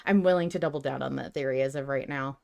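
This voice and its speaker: A woman's voice: a bass voice, fast, vocal fry, darkish tone. A woman hosting a casual fan discussion podcast, talking in a chatty, opinionated way. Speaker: podcast host.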